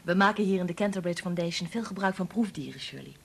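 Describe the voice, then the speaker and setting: An actress playing a brisk, capable statistician and mother in a Dutch radio play, firm and gently teasing. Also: fairly low pitch, vocal fry, breathy edge. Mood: explaining.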